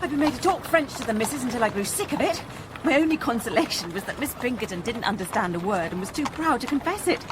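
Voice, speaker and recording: Regency radio drama: actress playing sly, ambitious young Englishwoman, high-pitched, arch and flattering, faint background ambience. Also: fast, background noise, close to an everyday voice. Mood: defiant, exultant. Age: young adult. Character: witty, scheming, ambitious young Regency woman.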